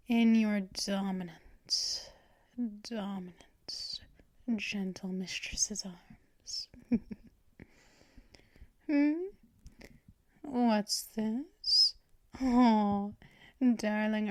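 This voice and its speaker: A woman voicing a possessive, teasing female vampire mistress in an ASMR roleplay, speaking in soft, purring whispers. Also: a little high, no room sound.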